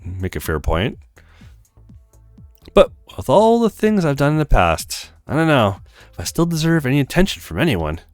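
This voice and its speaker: A man plays a shy young woman in a visual novel, polite and unsure of herself. The pitch moves around widely, recorded dry with light music underneath. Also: huge pitch swings, normal speed, no room sound. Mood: regretful. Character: shy, hesitant, kindly young woman with regrets. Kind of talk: acted visual novel read-aloud.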